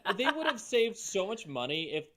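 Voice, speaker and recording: A woman's voice: medium pitch, sing-song, normal speed, a crowd in the background. A woman giving casual, blunt, exasperated commentary as a co-host, with some swearing. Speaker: podcast co-host commenting on the reading.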